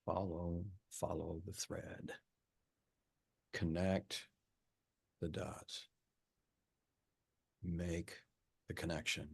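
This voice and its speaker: Man acting a low-voiced, halting, obsessive male detective. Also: flat delivery, gravelly, slightly rough, heavily acted. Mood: muttering, brooding. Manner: halting, stammering, repeats words. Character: driven, rattled male detective obsessed with his case. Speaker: actor at a script table read. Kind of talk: acted film script read-through.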